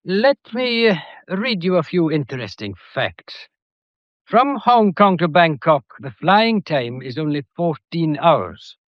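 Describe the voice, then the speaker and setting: An actor playing a methodical, courteous but probing police inspector in a detective drama, with a deliberate, pedantic and wryly theatrical way of talking. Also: male voice, fairly high pitch, big pitch swings, dry recording, dull audio. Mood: pompous, expansive. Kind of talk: detective radio drama acting.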